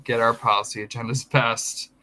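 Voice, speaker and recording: A man's voice: mid-range voice, level tone, moderate pace, bright, forceful tone, low-quality recording. A man in casual podcast chat, explaining politics at length and correcting himself as he goes. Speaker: podcast co-host.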